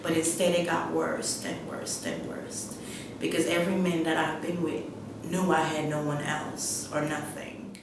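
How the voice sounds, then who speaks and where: A middle-aged woman from Guyana telling her life story in a halting, plain-spoken way, repeating phrases as she goes. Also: little pitch movement. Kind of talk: personal testimony, unscripted storytelling. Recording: echoey room, distant mic, rumbling noise.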